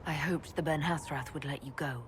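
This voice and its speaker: A woman playing a firm, low-voiced elf leader. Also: steady tone, brisk, slight background noise, natural voice. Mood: firm, reassuring. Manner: direct, earnest. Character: female elf mage leader, firm and caring. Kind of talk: video game cutscene dialogue.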